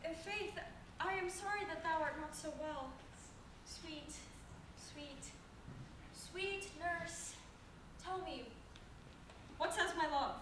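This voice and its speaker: Very echoey, noisy room. Woman as lovestruck young girl in stage Shakespeare, very high and impatient, pleading theatrically. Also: big pitch swings, unhurried, distant mic, exaggerated voice. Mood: frustrated, coaxing. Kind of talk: amateur Shakespeare play rehearsal.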